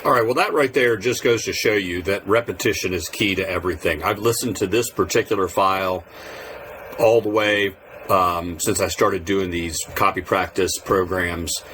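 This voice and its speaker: Low-voiced man, casual and plainspoken. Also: normal speed, a bit bright, a little hiss or hum. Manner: plainspoken, self-deprecating. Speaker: Morse code hobbyist and video host. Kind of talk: casual explanatory talk.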